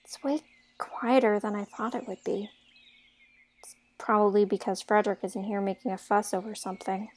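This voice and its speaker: A woman's voice: mid-range voice, fairly even intonation, moderate pace, close to an everyday voice. A woman playing a spirited, outspoken young fairytale heroine in an audio drama. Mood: pensive.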